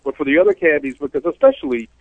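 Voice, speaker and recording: A man's voice: a little high, rapid-fire, creaky, dry recording. A man, co-hosting a casual talk show, ranting in a rambling, worked-up way about his job as a cab driver. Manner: ranting, animated, rambling complaints. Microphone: phone call. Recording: tinny phone line, dry.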